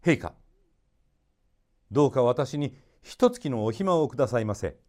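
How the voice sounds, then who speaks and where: A man's voice: mid-range voice, expressive, measured pace, warm tone, lightly acted. A man voicing a loyal young storybook hero, speaking formally and earnestly. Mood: pleading.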